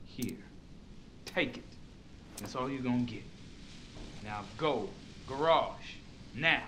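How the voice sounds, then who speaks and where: Condescending deputy, faint ambience. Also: male voice, medium pitch, takes it slowly, sharp tone, a little hiss or hum. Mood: dismissive. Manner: patronising, authoritative. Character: skeptical, condescending male sheriff's deputy. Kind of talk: video game horror voice acting.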